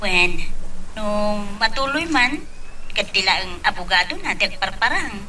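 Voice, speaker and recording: Bright, piercing, lively confident woman played by actress. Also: medium pitch, a little slow, recorded from a distance, slight background noise, rough recording. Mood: coy, inquisitive. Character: cheerful, sly, confident woman greeting her friend. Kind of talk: radio drama acting.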